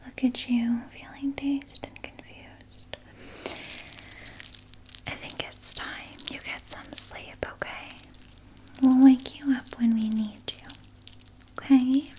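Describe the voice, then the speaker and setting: A woman playing a soothing, evasive vampire nurse in a soft, breathy ASMR whisper, gently coaxing and sweetly sinister. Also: high-pitched, fairly even intonation, takes it slowly, long pauses, poor audio. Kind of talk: whispered ASMR personal attention roleplay.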